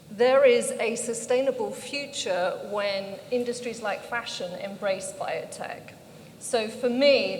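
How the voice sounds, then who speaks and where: A middle-aged British woman speaking on a panel, telling her story in a conversational way. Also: fairly high pitch. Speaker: panel speaker, founder of an organization. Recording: echoey hall, background noise.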